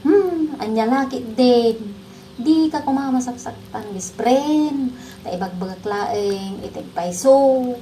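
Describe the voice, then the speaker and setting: A woman's voice: a little high, mellow, slight background noise, low-quality recording. A woman playing a blunt best friend in a comedy drama, chiding and handing out advice. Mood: advising. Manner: chiding, advising. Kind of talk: Ilocano comedy drama acting.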